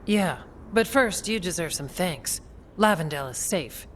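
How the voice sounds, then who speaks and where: An actress playing a plain-spoken, steady woman commander in a video game, with matter-of-fact phrasing. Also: on the low side, unhurried, a little hiss or hum. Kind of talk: dramatic video game acting.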